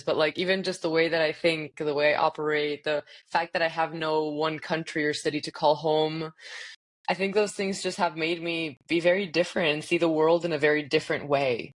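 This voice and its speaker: A woman in her thirties, raised in Spain and the US, a guest in an interview, talking animatedly and laughing often. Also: low voice. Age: 30s. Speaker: leadership coach guest. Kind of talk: casual interview.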